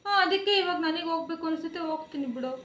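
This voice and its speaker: A woman's voice: very high-pitched, rapid-fire, fairly bright tone. A woman vlogger talking casually in a playful, teasing, insistent way while pranking her husband on the phone. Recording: roomy with faint noise.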